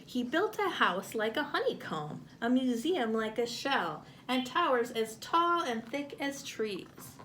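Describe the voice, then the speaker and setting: A woman reading a picture book aloud to children, expressively and with deliberate phrasing, as a storyteller. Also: fairly high pitch, sing-song, a bit bright, a little hiss or hum.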